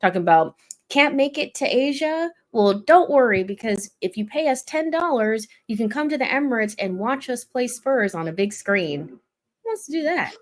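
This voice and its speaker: A woman's voice: on the high side, lively intonation, normal speed. A woman hosting a casual football chat, blunt and pessimistic. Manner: blunt, pessimistic fan venting. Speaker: host.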